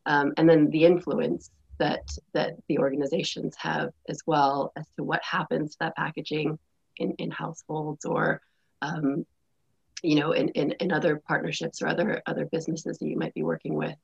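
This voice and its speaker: A woman with a low, gravelly, husky voice, her throat worn and a cough hanging on. She explains in a measured way, with plenty of fillers. The sound is dull and lo-fi. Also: flat delivery. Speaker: sustainability panelist. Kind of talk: panel discussion.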